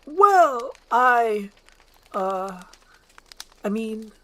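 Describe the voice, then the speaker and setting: A woman's voice: on the high side, big pitch swings, very slow, sharp tone, pitched above the performer's own voice, heavily acted. A woman voicing a big, grumpy, insecure male ogre with a blunt, defensive manner in a storybook screenplay reading. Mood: impatient. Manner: gruff-tempered, defensive, blunt.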